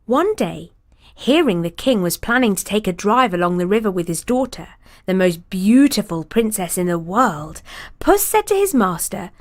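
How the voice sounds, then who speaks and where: A woman reading a fairy tale aloud in an expressive storybook cadence. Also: medium pitch, moderate pace.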